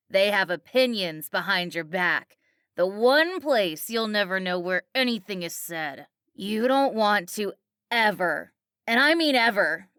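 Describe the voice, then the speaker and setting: A woman's voice: medium pitch, bright, forceful tone, no echo, clean studio sound. A woman voicing a foul-mouthed, jealous, bullying mother on drugs, ranting in slang for an audiobook. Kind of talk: audiobook character acting.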